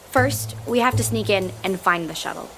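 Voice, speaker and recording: A woman's voice: medium pitch, unhurried, fairly bright tone, faint music behind, poor audio. A woman playing a headstrong, determined young space traveler in an audio drama, speaking insistently.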